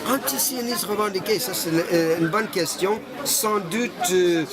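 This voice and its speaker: A man's voice: high voice, measured pace. Hesitant older Canadian-born man outdoors. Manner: hesitant, many fillers and restarts. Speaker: interviewee, association founder. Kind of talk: street interview. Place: outdoors in a busy street. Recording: loud street crowd behind. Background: crowd noise.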